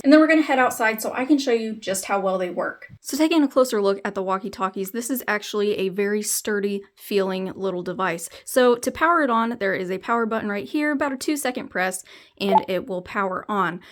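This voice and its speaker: A woman reviewing a product, explaining its features plainly, step by step. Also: fairly high pitch. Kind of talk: explanatory product demo narration.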